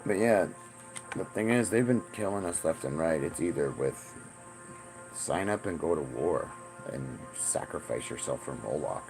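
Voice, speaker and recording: A man's voice: very deep voice, expressive, measured pace, music in the background, low-quality recording. A man in his forties talking in a rambling, profane monologue, often repeating himself. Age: 40s. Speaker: self-styled spiritual teacher.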